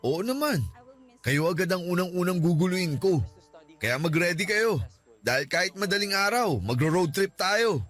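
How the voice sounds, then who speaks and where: A man's voice: high voice, lively intonation, moderate pace. A subdued grieving young man. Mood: lightening up. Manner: subdued, hesitant, self-deprecating. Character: grieving young man who lost his partner. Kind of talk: radio drama acting. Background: soft music.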